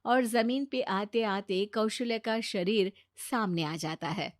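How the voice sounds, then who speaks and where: A woman's voice: medium pitch, takes it slowly, no room sound, studio quality. A woman storyteller reading a dramatic scripted narration of a domestic moral tale.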